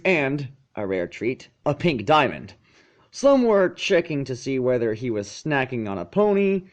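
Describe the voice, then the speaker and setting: A man reading a story aloud as narrator, expressive, with dramatic, deliberate emphasis. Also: fairly high pitch. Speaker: fan fiction narrator.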